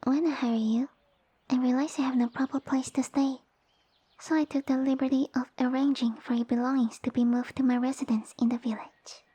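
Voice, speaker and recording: Woman voicing a breathy, high-pitched, haughty little lamia boss. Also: fairly even intonation, dry recording. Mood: commanding, smug. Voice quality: soft, breathy, whispery. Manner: formal, imperious, measured phrasing. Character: small, sassy, haughty female lamia village ruler and boss. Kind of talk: ASMR roleplay audio addressed to the listener.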